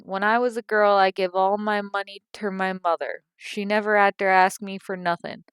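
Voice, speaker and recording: A woman's voice: steady tone, normal speed, smooth, dry recording, lightly acted. A woman voicing a cantankerous, self-pitying old working-class mother with a Cockney accent, complaining and scolding. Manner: complaining, shrill when angry.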